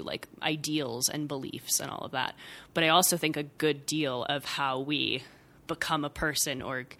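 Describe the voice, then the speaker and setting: Young woman guest with a bright, clear tone; reflective and meandering, full of filler words and small laughs. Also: sits low. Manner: thoughtful, rambling, many fillers and soft laughs. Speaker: podcast guest, writer. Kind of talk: casual reflective conversation.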